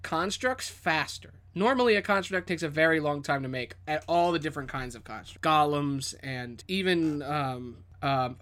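Higher-voiced man running a tabletop game. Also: fairly bright tone. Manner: casual, profane, descriptive storytelling. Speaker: game master. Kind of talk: narrating a tabletop game, casual.

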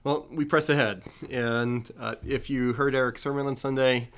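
A man's voice: medium pitch, fairly even intonation, moderate pace, a bit bright, smooth. A man hosting a podcast, opening the show and prompting his guest with friendly, upbeat questions. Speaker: podcast host.

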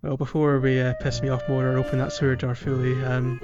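A man's voice: medium pitch, level tone, fairly quick. A man co-hosting a podcast, chatting casually about video games in a meandering, hesitant way. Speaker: podcast co-host. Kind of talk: casual video game discussion. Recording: slightly dull, lo-fi, music behind. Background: background music.

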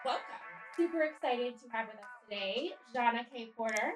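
A woman's voice: a little high, expressive, normal speed, faint music behind, poor audio. A woman co-hosting a podcast, reading an intro and welcoming a guest in an upbeat, enthusiastic way. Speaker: podcast co-host. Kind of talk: scripted intro and interview hosting.

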